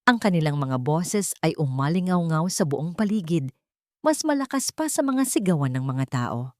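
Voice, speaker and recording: A woman narrating a scripted story in a deliberate, suspenseful, dramatic way. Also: low-pitched, very animated, no echo, studio quality.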